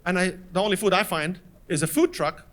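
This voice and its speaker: A man from Lebanon with a Lebanese accent, doing animated stand-up storytelling on stage and acting out the people in his story. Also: sits high, fairly quick, a little hiss or hum. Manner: animated, acts out voices of others in anecdotes. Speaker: stand-up comedian. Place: stage before a live audience.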